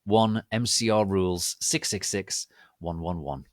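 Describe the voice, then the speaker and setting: A man's voice: deep voice, measured pace, dry recording, clean studio sound. A man voicing a bratty, defensive teenage girl author in petulant, exclamatory notes to readers.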